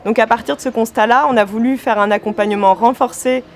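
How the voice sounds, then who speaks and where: A woman's voice: on the high side, steady tone, fast talker. A woman in a programme manager role, explaining her work in an interview.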